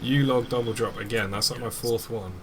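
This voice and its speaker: A young man, chatting in a relaxed way during a gaming stream and swearing casually. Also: mid-range voice, brisk, background noise.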